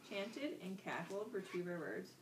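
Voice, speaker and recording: A woman's voice: mid-range voice, normal speed. A woman teacher speaks in a roomy classroom, some distance from the microphone. She instructs young children, repeating key words and putting questions to them. Faint noise sits behind her voice. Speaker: elementary school teacher. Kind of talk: interactive classroom vocabulary lesson for young children.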